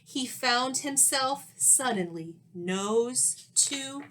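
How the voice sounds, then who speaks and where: A woman teacher reading a children's story aloud expressively, with dramatic pauses and questions to young listeners. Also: high voice, expressive, a bit bright, a little hiss or hum.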